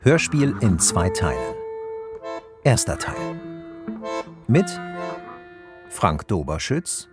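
Male announcer, unhurried, lively intonation, drama credits, plucked guitar behind. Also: low-pitched. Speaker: announcer reading cast credits. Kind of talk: scripted radio drama credits.

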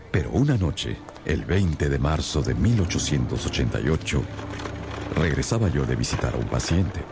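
An actor playing a gentlemanly physician who recounts detective cases in a radio drama, with measured, theatrical delivery and deliberate pauses. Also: male voice, very deep voice, gravelly, heavily acted. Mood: reminiscing. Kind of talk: radio drama first-person narration.

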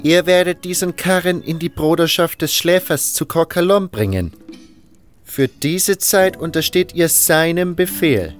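An actor playing a demanding camp boss who speaks in a formal, authoritative way. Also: male voice, fairly high pitch, measured pace, soft background music, close to an everyday voice. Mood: commanding.

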